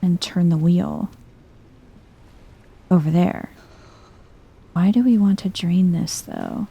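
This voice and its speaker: Chill lady doing asmr game commentary, really mellow and hushed, slow pace, kinda husky. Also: dull audio. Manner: chill, low-key, thinking aloud. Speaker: video game streamer. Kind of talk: soft-spoken ASMR gameplay commentary.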